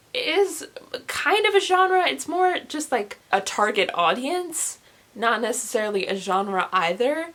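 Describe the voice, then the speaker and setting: Young woman, late teens, book vlogger, casual chat, rambling, self-deprecating, animated asides, medium pitch. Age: young adult, late teens.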